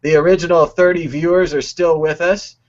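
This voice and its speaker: A man's voice: on the high side, steady tone, moderate pace, muffled recording. A man hosting a casual live-stream talk show, joking around and laughing often. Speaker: host.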